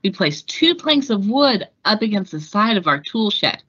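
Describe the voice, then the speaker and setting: A woman reading as a plucky, fearless female aviator telling her life story in deliberate, emphatic storybook style. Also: mid-range voice, big pitch swings, a bit bright, husky, dry recording, muffled recording. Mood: proudly defiant.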